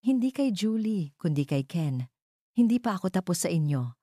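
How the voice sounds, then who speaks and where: A woman's voice: fairly low pitch, big pitch swings, moderate pace, dark tone, no room sound, studio quality. A woman voicing a cold, cruel, scornful man, a scheming fiancé in an audio drama, with a mocking, menacing manner.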